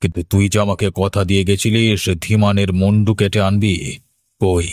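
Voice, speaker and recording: A man's voice: on the low side, flat delivery, normal speed, darkish tone, no room sound, clean studio sound, lightly acted. A man playing a stern, scheming middle-aged father and occultist in a horror cartoon, with a commanding, menacing manner. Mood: menacing, demanding. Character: stern, scheming father who raises ghosts by occult practice. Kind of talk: Bengali horror cartoon voice acting.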